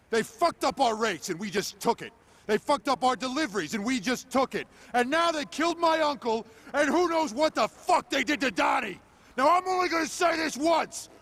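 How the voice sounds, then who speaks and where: An actor playing an angry young gang leader in a video game, forcefully rallying a crew for revenge. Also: male voice, extremely high pitch, heavily acted. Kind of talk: video game cutscene speech.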